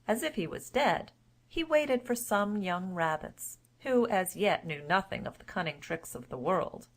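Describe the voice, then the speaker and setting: A woman storyteller giving a scripted narration of a classic children's tale. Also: mid-range voice.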